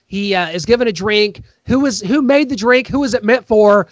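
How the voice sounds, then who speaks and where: A man's voice: sits high, moderate pace, dry recording, clean studio sound. A man co-hosting a podcast, eagerly recounting a TV episode's plot in casual chat. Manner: enthusiastic, recounts plot in detail. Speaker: podcast co-host.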